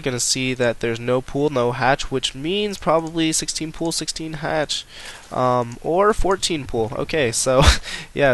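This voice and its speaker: Stuffy-nosed young man casting a game. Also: medium pitch. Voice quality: nasal, congested. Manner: casual, self-correcting, rising excitement in big moments. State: stuffy nose. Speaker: esports caster. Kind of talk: live game commentary.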